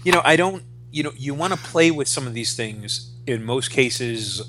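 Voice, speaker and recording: A man in his forties with a bright, forceful voice at a middling pitch. He talks as a casual podcast co-host, opinionated and emphatic, doubling back on phrases for stress and dropping swear words freely. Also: lively intonation, normal speed, slight background noise. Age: 40s. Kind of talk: casual opinionated pop culture discussion.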